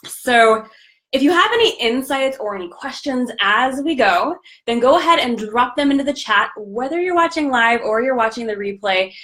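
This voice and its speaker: A woman with a slightly raspy voice from a recent cold, hosting a live coaching stream in an upbeat, chatty way. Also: fairly high pitch, expressive, moderate pace, a bit bright, low-quality recording. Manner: upbeat, chatty, greets viewers by name. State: recovering from a cold. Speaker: podcast host and business coach.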